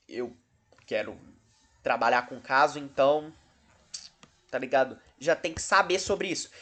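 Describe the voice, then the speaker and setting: A man's voice: on the high side, a bit bright. A Brazilian man hosting a video commentary, talking casually in a rambling, self-interrupting, dryly sarcastic way. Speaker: host of a video channel about horror cases.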